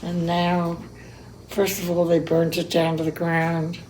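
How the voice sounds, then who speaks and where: A woman of about a hundred recalling her past in an interview, speaking slowly and reminiscing, hard of hearing. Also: on the low side, completely flat delivery, a little mellow, a little hiss or hum, low-quality recording. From Cuba. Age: 100. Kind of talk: oral history interview.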